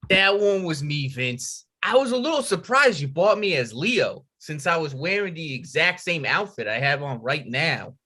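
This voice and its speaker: Man as a lively, wisecracking sidekick. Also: on the high side, normal speed, few pauses, slightly rough, heavily acted. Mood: sheepish. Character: laid-back, wisecracking male sidekick and driver. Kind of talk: comedy table read.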